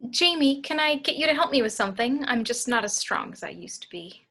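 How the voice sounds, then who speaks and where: A woman's voice: fairly high pitch, animated, a little fast, bright, forceful tone, exaggerated voice. A woman playing a solicitous older woman of the house in an amateur table read.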